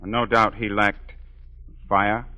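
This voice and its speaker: A man acting a proud, suave opera singer in a 1940s radio drama, smooth, formal and theatrical, with dry sarcasm. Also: lowish voice, flat delivery, slow pace, bright, forceful tone, slight background noise, low-quality recording. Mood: suave, needling. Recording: 1943 radio broadcast with music.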